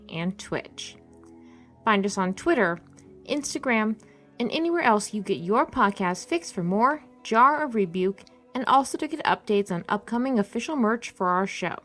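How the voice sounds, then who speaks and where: A woman's voice: medium pitch, a bit bright. A woman reading scripted end credits for a podcast as an announcer. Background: music.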